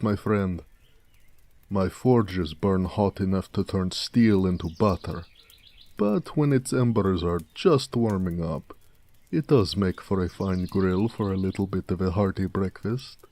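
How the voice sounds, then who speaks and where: A man playing a big, muscular orc blacksmith in an intimate audio drama, proud, gentle and affectionate, with courteous, slightly formal speech. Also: deep voice. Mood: content, proud. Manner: unhurried, soft-spoken, courteous, slightly formal. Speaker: voice actor.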